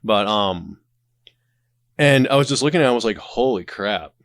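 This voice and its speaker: A man's voice: on the low side, expressive, moderate pace, a bit bright, no echo, clean studio sound. A man with a smoker's voice chatting casually as a podcast guest, wry and self-deprecating, given to rambling asides. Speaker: podcast guest, audio drama creator. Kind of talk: casual conversational interview.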